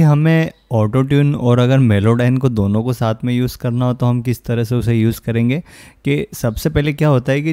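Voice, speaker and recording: A man giving an informal, step-by-step software tutorial as a music production host. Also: mid-range voice, smooth, no room sound. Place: close, dry indoor voice-over.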